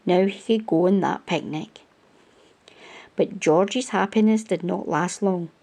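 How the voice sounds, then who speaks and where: A woman reading a children's picture book aloud as a storytime host, with expressive storyteller phrasing. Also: on the low side, measured pace.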